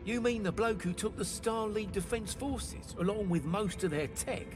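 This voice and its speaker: A man's voice: high voice, moderate pace, lightly acted. A man with a British accent playing a chatty, cheeky working-class crewman in a sci-fi video game, chummy and colloquial. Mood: chummy, wry. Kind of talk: video game cutscene acting. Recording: music under the voice. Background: music.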